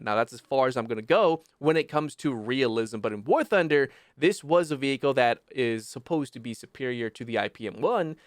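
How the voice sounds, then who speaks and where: A man's voice: on the high side, clean tone, no room sound. Phlegmy-voiced man, dry close mic. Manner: rambling, self-correcting, opinionated. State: phlegmy, rough voice after illness. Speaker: gaming video host. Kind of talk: casual commentary on gameplay.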